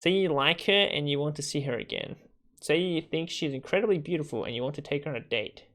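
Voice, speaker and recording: A man's voice: a little high, fast talker, fairly bright tone. A man giving casual livestream gaming commentary in a slangy, sweary, bantering way. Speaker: livestream gamer.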